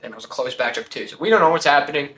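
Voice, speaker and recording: A man's voice: medium pitch, expressive, very fast, a bit bright, reverberant. A man hosting a casual sports talk show, giving blunt, slangy opinions and firing off rhetorical questions.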